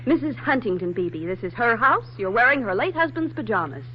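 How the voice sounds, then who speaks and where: An actress playing a kindly, efficient young secretary, soothing yet gently firm, in 1940s radio drama style. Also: female voice, a little high, big pitch swings, a little fast. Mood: helpful. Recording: muffled, noisy 1940s radio recording.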